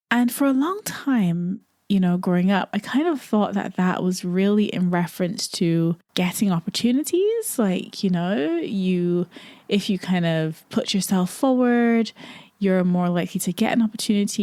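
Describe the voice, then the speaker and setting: A woman's voice: lowish voice, darkish tone, dry recording, clean studio sound. A middle-aged woman from Barbados hosting a solo podcast, talking in an earnest, musing way and repeating phrases for emphasis. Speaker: podcast host. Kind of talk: reflective solo podcast talk.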